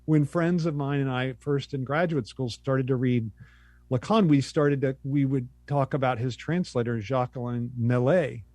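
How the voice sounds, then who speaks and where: Relaxed middle-aged man thinking aloud. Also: medium pitch, normal speed, no room sound. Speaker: guest academic. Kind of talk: casual interview chat.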